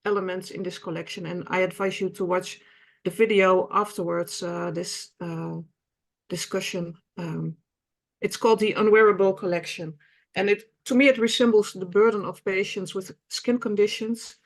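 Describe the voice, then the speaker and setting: A woman's voice: medium pitch, steady tone, moderate pace. Woman, 50s, Dutch accent, non-native English, patient representative, webinar talk, hesitant, many fillers. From Netherlands. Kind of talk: online webinar presentation.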